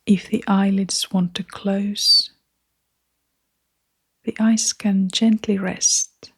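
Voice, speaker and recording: Woman, meditation guide, extremely unhurried, soft breathy half-whisper, mid-pitched, gentle, soothing, long pauses. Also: level tone.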